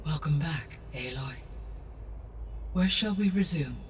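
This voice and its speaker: An actress voicing a calm, wise female AI that speaks in a measured, formal way. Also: low voice, slight background noise. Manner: measured, formal, precise diction. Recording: distant voice over loud music.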